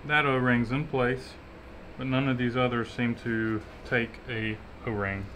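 A man's voice: mid-range voice, level tone, unhurried, fairly bright tone, slight background noise, poor audio. Easygoing man talking in a garage. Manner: easygoing, talks while working with his hands. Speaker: mechanic host. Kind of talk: casual workshop chat. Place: garage workshop.

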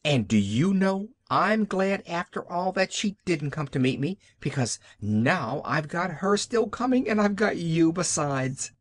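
Man voicing an eager, high-pitched chattering little girl. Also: sing-song, unhurried. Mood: contented, bright. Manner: breathless, rambling, eager. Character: chattering, relentlessly glad orphan little girl.

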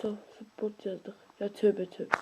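A woman commenting playfully on a video game, swinging from whispered asides to excited squeals and grumbling at it. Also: medium pitch, a little slow, background noise. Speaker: gaming YouTuber. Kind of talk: casual let's-play commentary.

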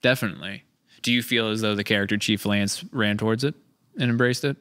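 Bright, clear-voiced man hosting a podcast. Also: lowish voice, steady tone, fast talker, no room sound. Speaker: podcast host. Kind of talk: casual post-session podcast chat. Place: large indoor studio room. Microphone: close boom-mounted studio mic.

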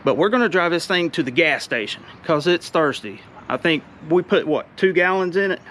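A man, chatting excitedly in a casual vlog about his race truck, outdoors and then driving in the cab. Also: a little high, moderate pace. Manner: excited, chatty, drawling shop talk. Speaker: car builder vlogger. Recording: loud engine noise throughout.

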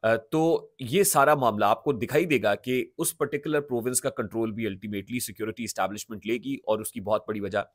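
A man's voice: mid-range voice, fairly quick, few pauses, no room sound, clean studio sound. A man in his thirties with a Pakistani accent, giving emphatic political commentary to camera, restating his points and mixing in English words. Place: small indoor home studio. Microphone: large studio microphone close in front.

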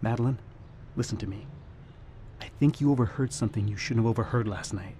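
Blunt, wry noir barkeep played by an actor. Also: male voice, mid-range voice, fairly quick, mellow, a little hiss or hum, close to an everyday voice. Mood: urgent warning. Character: world-wise, protective male barkeep, wry and blunt. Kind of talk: old-time radio noir drama acting.

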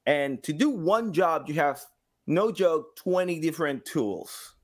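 Expressive man with swooping intonation. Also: fairly high pitch, a little slow. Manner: joking, expressive, hands-on storyteller. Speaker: podcast guest, game sound designer. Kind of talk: casual chat, telling anecdotes.

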